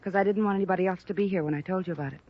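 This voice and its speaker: A woman's voice: lowish voice, very fast, lightly acted. A woman acting a proud, cornered young former chorus girl in a 1950s radio drama, earnest and plaintive with an American accent. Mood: wistful. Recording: dull restored old radio recording.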